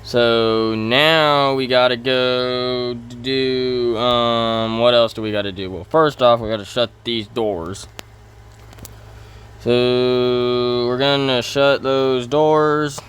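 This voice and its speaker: Man, very clear smooth voice, slow pace, halting, thinking aloud, casual game commentary. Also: mid-range voice, steady tone, a bit bright. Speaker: gaming video host. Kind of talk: casual role-play gameplay commentary.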